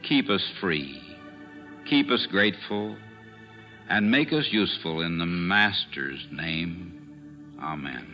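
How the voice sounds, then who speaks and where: A man's voice: low voice, lively intonation, takes it slowly, pauses often, music in the background. A minister, a man, speaking a solemn prayer on an old radio broadcast. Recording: dull old radio recording.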